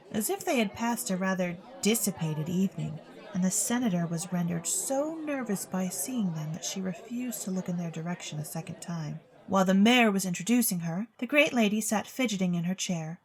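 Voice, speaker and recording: A woman narrating a scripted storybook tale in an expressive storytelling manner. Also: medium pitch.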